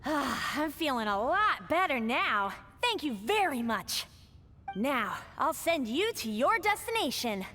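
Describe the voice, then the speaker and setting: A woman voicing a gentle, polite magical flower spirit in a video game. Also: sits high, sing-song, unhurried, faint music behind, exaggerated voice. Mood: gentle, helpful. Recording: long reverb, faint noise.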